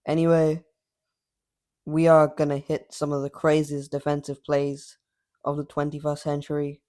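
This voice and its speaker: A man giving casual, rambling commentary over his mobile gameplay, cocky and trash-talking, his voice slightly raspy from filming late at night. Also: fairly high pitch, completely flat delivery, normal speed, darkish tone.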